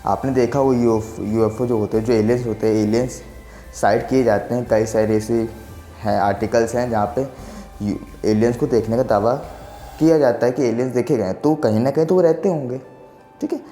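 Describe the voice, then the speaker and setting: Young man, twenties, mellow voice, rambling explainer, roomy reverb, faint background music. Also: medium pitch, fairly quick. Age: 20s. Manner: rambling, repeats filler checks for agreement. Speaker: science explainer channel host. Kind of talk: casual explainer talk to camera.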